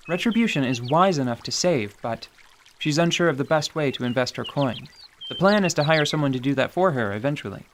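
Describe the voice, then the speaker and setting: A man narrating a scripted tabletop radio drama in a measured, wry way, over a music bed. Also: medium pitch.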